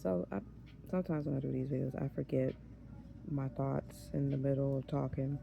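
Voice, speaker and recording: A woman in her thirties with a hoarse, raspy voice, chatting casually in a vlog and often losing her train of thought. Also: a bass voice, little pitch movement, moderate pace, mellow, poor audio. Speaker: vlogger. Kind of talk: casual chat.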